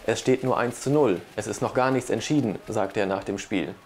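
A man's voice: on the low side, a little fast. A middle-aged man delivering a scripted sports report into a lapel mic.

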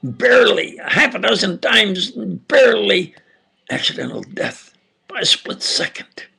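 An older man with an Upstate New York and New Jersey accent, giving a halting, insistent monologue lecture with sudden loud emphasis. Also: high-pitched, creaky, slightly rough. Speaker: self-styled preacher lecturing on camera.

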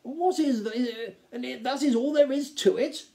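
A man voicing a gnome count in a storybook, theatrical, measured and drily courteous. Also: very high-pitched, mellow, pitched above the performer's own voice. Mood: dry scepticism.

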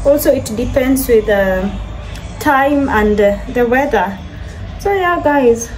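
A young woman talks unhurriedly to her phone camera in a bright home kitchen. Her voice sits high and she keeps addressing her viewers as guys. Quiet music plays softly underneath. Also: expressive, slow, low-quality recording. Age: young adult. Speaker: vlogger. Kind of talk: casual vlog chat to camera. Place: modern home kitchen, indoors.

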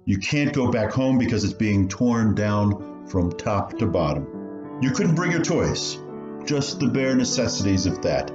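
A man's voice: on the low side, animated, unhurried. An actor playing an old man who reminisces about his refugee childhood in a radio play, telling it like a story. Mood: wry, reminiscing. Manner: storytelling, hesitant while remembering. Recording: muffled, roomy, music underneath.

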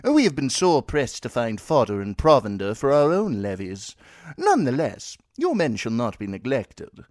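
A man voicing a smooth, courteous young nobleman who speaks in a formal, overly polite way. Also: medium pitch, wildly swooping pitch, normal speed, a little mellow, no room sound, studio quality, pitched above the performer's own voice. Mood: courtly.

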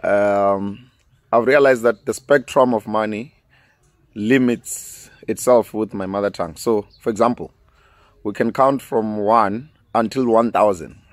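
A man in his thirties with a Venda South African accent chats easily on his own podcast, his voice sitting a little low. He laughs often and lets sentences fade out softly. The sound is dry and close, from a big studio mic outdoors. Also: a little slow, dry recording. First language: Tshivenda. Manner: laughs often, easygoing, trails off quietly. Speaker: podcast host. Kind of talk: casual solo podcast chat with phone call. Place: outdoors in a sunny garden. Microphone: large studio mic close up.

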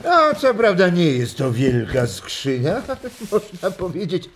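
An actor playing a warm, stubborn old grandfather in a children's audio drama, theatrical, full of sighs and exclamations. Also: high-pitched, big pitch swings, unhurried, exaggerated voice. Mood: playful. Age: older.

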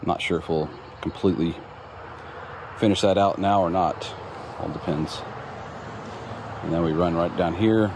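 A man with a very deep voice gives a relaxed, plain-spoken tour of his construction site, stopping often for ums and restarts. It was recorded outdoors on a handheld phone. Steady outdoor noise sits clearly behind him. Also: unhurried, pauses often. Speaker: homeowner showing his build. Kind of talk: casual walkthrough narration. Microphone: phone camera held while walking.

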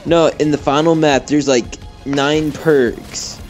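A man's voice: on the high side, fairly even intonation, slow pace, a little mellow. Giggly teenage boy gamer. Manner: giggly, joking. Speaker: co-player friend. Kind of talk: casual gaming chat. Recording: game music and gunfire behind.

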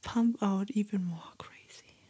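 A young woman with a German accent, a native German speaker, whispering slowly and gently as an ASMR creator in a small dark studio on a close binaural microphone. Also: medium pitch. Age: young adult. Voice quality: breathy whisper. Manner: gentle, slow whispering with soft spoken asides.

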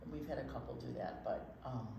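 A woman's voice: extremely low pitch, expressive, brisk, gravelly, mellow. A woman in her sixties co-hosting a children's storytime as a teacher, chatting casually. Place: indoor storytime set at a library. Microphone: distant camera microphone. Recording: very echoey, distant mic, background noise.

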